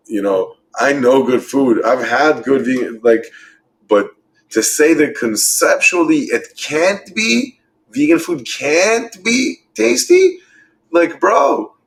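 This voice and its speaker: A man in his thirties speaking at an ordinary pitch, neither deep nor high. He is a chef chatting on a podcast. He is emphatic and swears freely, and he rattles off lists of things. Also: expressive, slow pace, poor audio. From Israel and New York. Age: 30s. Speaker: guest, vegan chef. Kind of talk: casual podcast chat.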